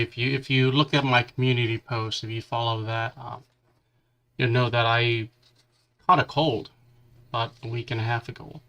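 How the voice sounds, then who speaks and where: A man hosting a hobby livestream, chatting casually and haltingly while he works with his hands, still nursing a lingering cough and a stuffy nose. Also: mid-range voice, sharp tone. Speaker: livestream host building a model kit.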